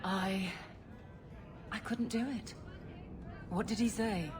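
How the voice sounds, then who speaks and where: An actress playing a proud guard captain who is blunt, flustered and halting, awkward about romance, in a video game cutscene. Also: female voice, mid-range voice, steady tone, over music, close to an everyday voice. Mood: dejected.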